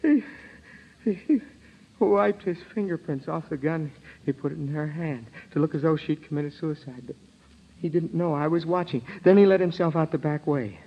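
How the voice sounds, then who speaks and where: Man acting a high-strung, stammering desperate ex-convict. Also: moderate pace, a little hiss or hum, lightly acted. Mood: desperate, resolved. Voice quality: nervous, broken laughter. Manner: stammering, overwrought. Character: hysterical wronged male ex-convict, desperate and vengeful. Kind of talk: old-time radio crime drama acting. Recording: dull vintage radio recording.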